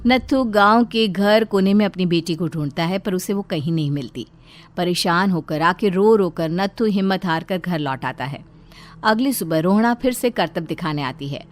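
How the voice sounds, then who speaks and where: A woman's voice: on the low side, lively intonation, normal speed. A woman narrating a scripted children's story as storyteller.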